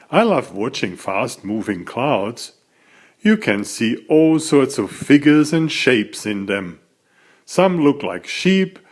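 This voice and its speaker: A man reading a children's story aloud as its author, in an expressive, deliberate storytelling manner. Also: medium pitch.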